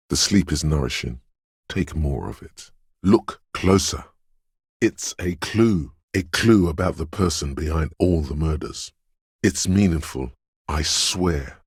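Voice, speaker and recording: An actor voicing a detective's eerie, dreamy inner voice of intuition in a video game, speaking in an ominous, cryptic, theatrical way. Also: male voice, rumbling low, darkish tone, breathy edge, no room sound, studio quality, heavily acted. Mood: grave, haunting, then conspiratorial, insistent. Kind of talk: scripted video game voice-over, second-person monologue.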